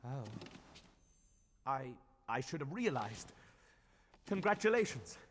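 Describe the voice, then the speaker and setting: An actor playing a shy, stammering young musician in a cartoon. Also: male voice, mid-range voice, sing-song, slow, slight background noise.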